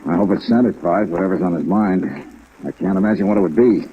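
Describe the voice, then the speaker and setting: Muffled vintage radio sound with faint rain frames an American private investigator. His voice is fairly low, clipped and dryly businesslike. He sounds like a decent, hard-boiled lead from a fifties detective serial. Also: slight background noise. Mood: sober, sympathetic. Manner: brisk, clipped, matter-of-fact. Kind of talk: 1950s radio detective acting and first-person narration. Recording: muffled old radio recording, rain over it.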